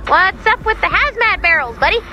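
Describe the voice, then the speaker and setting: A woman voicing a cheerful, chatty, excitable robot helper in a video game, with a perky, quirky delivery. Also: very high voice, a little fast, dull audio, noisy background. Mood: puzzled, concerned. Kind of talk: video game mission dialogue.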